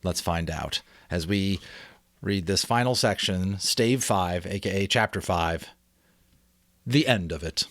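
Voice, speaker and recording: A man reading a classic story aloud as host and narrator, theatrical and expressive. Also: sits low, measured pace, no room sound, clean studio sound. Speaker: audiobook reader and host. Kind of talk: dramatic storybook narration with casual asides.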